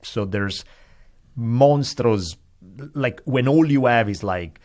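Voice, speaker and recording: A man's voice: mid-range voice, slow, darkish tone. A man, a podcast guest explaining ideas in a thoughtful, digressive way with frequent hedges. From Italy. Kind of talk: casual conversation.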